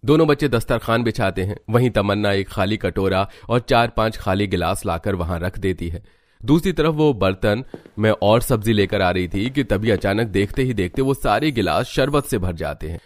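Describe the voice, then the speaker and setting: Low-voiced male cartoon story narrator. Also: expressive, normal speed.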